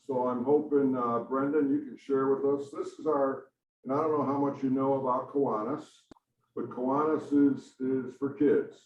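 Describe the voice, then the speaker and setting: A man's voice: mid-range voice, steady tone, normal speed. A man hosting a club meeting, rambling and hesitant with many restarts. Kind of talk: casual introduction at a club meeting. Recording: echoey room, voice far from mic, dull.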